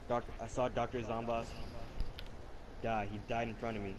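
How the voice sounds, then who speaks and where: A man's voice: medium pitch, flat delivery, moderate pace. A young man improvising a casual, rambling story over video game footage, earnest and repetitive as he goes. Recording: noisy home game-capture microphone.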